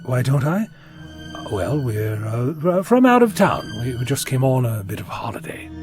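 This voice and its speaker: An actor playing a chatty, wry, inquisitive time-travelling adventurer in an audio drama, talkative and flippant. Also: male voice, medium pitch, very animated, moderate pace, a little mellow, soft background music, natural voice. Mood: awkward, evasive.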